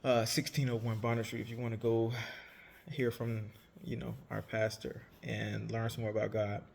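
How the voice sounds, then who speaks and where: A man's voice: lowish voice, monotone, normal speed, vocal fry, a little mellow, a little hiss or hum. Chatty young man rambling casually. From Savannah, Georgia, US. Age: 20s. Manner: rambling, self-correcting, chatty. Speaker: vlogger, entrepreneur. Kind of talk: casual storytelling monologue.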